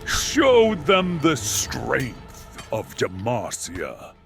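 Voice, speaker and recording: A commanding male warrior captain rallies his fighters in a fantasy audio drama, acted out by a man. His delivery is very drawn out and swings dramatically, with gravel in the forceful shouts. Music plays behind him. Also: mid-range voice, huge pitch swings, extremely slow, full character voice. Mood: defiant, rallying. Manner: forceful, rallying battle shouts.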